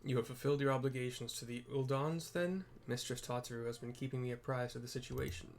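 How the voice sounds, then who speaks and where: A man's voice: mid-range voice, flat delivery, fast talker, a little hiss or hum, lightly acted. A man voicing formal fantasy game characters as he reads quest dialogue aloud. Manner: formal, archaic wording read out.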